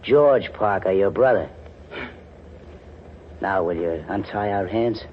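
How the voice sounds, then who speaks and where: A man's voice: lowish voice, takes it slowly. An actor playing a smug, dim hired thug in a 1940s radio crime drama, with threatening, uneducated tough-guy speech. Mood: gloating. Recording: muffled old radio recording, faint noise.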